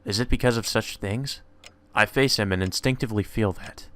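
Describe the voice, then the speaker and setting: Low-pitched man reading a visual novel. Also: normal speed, fairly bright tone. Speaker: let's play narrator.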